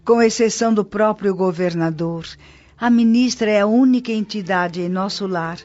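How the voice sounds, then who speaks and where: An actress with a Brazilian accent playing a devoted spirit-colony guide in a radio drama, explaining things in a reverent, articulate way. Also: female voice, medium pitch, normal speed, darkish tone, close to an everyday voice. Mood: admiring, devout. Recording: dull lo-fi audio, clear music behind. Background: music.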